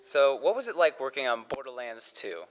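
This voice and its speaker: A man interviews a guest, asking polite questions with the odd hesitant pause. His voice sits at an average pitch. The recording carries the long reverb of a large hall. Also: sing-song. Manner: plain, polite questioning with fillers.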